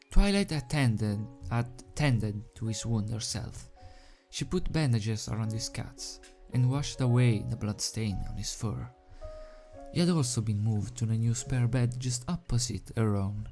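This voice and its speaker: A man's voice: mid-range voice, measured pace, dark tone. A man reading fan fiction aloud as an amateur storybook narrator. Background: soundtrack music.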